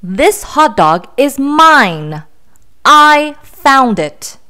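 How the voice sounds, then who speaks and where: A woman's voice: high voice, big pitch swings, extremely slow, bright, forceful tone, heavily acted. A woman voicing a possessive, easily exasperated pigeon in a children's storybook, theatrical and emphatic. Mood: indignant. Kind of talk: children's storybook read-aloud.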